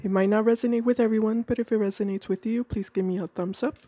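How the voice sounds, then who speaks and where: A woman with a cold giving a calm tarot reading at a table indoors, slowing down as she names each card. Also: mid-range voice, husky, no room sound. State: has a cold. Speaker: tarot reader.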